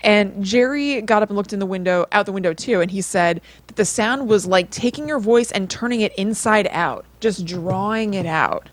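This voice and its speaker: A woman's voice: mid-range voice, moderate pace, few pauses. An American woman co-hosting a podcast, telling stories in a casual, chatty way with lots of informal filler words like "like". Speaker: podcast co-host. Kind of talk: casual conversational storytelling.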